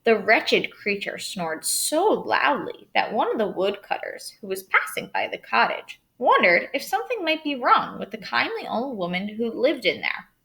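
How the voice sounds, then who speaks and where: A woman narrating a children's storybook aloud as a storyteller, expressive and dramatic, stressing key words. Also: on the high side, moderate pace, creaky, few pauses.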